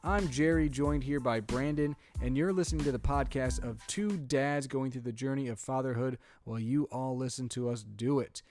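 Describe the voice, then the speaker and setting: A man's voice: medium pitch, moderate pace, few pauses, darkish tone, soft background music. A young man co-hosting a podcast about fatherhood, chatting casually, teasing his friend and laughing often. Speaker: podcast co-host. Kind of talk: casual chat.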